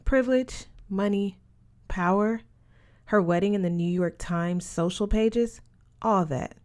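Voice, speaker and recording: A woman's voice: mid-range voice, a little slow, a little mellow, lightly acted. A woman narrator plays a confident teenage boarding-school girl from a storybook. She talks frankly and knowingly, with breezy emphasis. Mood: knowing, gossipy.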